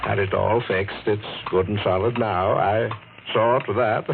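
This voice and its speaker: On a hissy, narrow vintage radio recording, a sly, affable older gentleman with something to hide. His voice sits low. He muses haltingly, in classic radio mystery style. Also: steady tone, creaky. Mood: smooth, falsely sentimental. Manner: halting, full of musing "uh" and "eh". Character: affable, sly older male cousin hiding guilt. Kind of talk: 1940s radio mystery acting.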